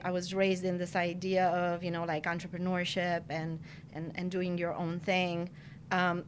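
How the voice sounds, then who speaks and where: Woman from romania in her 40s, earnest and reflective, says "you know" a lot, faint low rumble behind. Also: fairly bright tone. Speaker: podcast guest, marketing director. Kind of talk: casual interview chat.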